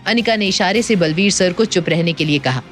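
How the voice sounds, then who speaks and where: A woman's voice: fairly low pitch, brisk. Woman narrating a dramatic story. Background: background music.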